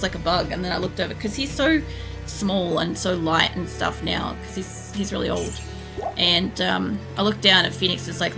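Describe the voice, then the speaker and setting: Casting: young woman streamer, hoarse and gravelly, lowish voice, loose rambling chat, game music playing clearly behind. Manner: rambling, chatty, swears casually. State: hoarse, losing her voice. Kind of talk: casual chat while playing. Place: home room.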